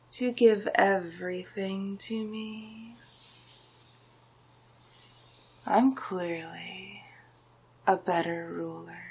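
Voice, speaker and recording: Woman playing a breathy, slow sorcerer villain. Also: medium pitch, full character voice. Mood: smug, covetous. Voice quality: breathy, often whispered. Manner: slow, drawn-out, menacing, hypnotic. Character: scheming, gloating male sorcerer villain, silkily domineering. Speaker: ASMR roleplay performer. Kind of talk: ASMR villain roleplay, slow whispering and soft speech.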